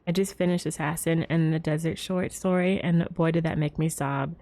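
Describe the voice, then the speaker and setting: Lower-pitched woman chatting on a livestream. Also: fairly even intonation, moderate pace, mellow. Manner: giggly, rambling. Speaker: game livestreamer. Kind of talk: casual chat with stream viewers. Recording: game music behind the voice.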